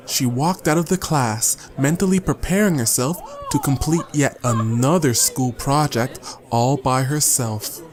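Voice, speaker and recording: A man reading scripted audiobook narration as a storyteller, measured and with deliberate pauses. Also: medium pitch, darkish tone. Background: guitar music.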